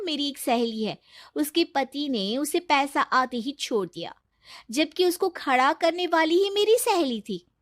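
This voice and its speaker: Tina, a woman voicing a teasing, high-voiced model. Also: no echo, clean studio sound. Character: Tina, pretty, teasing, shrewd young aspiring model. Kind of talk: Hindi cartoon story voice acting.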